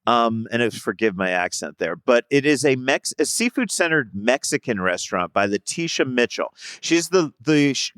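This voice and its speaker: A man's voice: medium pitch, a bit bright, no room sound. A man talking as a food writer guest in a casual interview, enthusiastic and rambling with fillers.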